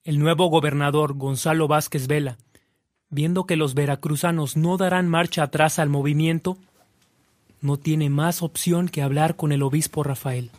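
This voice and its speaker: Man, radio drama narrator, scripted, solemn, slightly high pitch, dry studio sound. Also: fairly even intonation, normal speed, no room sound.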